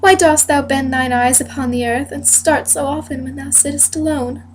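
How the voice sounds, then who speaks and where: An anxious noblewoman, played by a young woman rehearsing a stage monologue, pleads lovingly with her husband in Shakespearean verse. Her voice is rather high and earnest, with faint noise behind it. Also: moderate pace, close to an everyday voice. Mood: worried, pleading. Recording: noisy amateur home recording.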